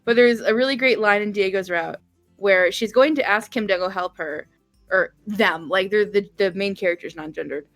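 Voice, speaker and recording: A woman chatting casually as a guest game developer, explaining things in a halting, thinking-aloud way. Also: medium pitch, dry recording, soft background music.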